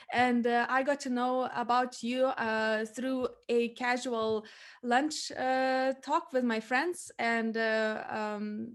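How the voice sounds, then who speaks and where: A young woman with a fairly high voice and a Lithuanian accent hosts a podcast interview. She talks in an earnest, conversational way, explaining things at length.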